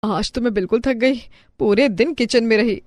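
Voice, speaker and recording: A woman's voice: a little high, moderate pace, a little mellow, no room sound, close to an everyday voice. Woman acting a bossy middle-aged mother-in-law, dry sound. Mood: weary, regretful. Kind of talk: Hindi family moral-story cartoon acting.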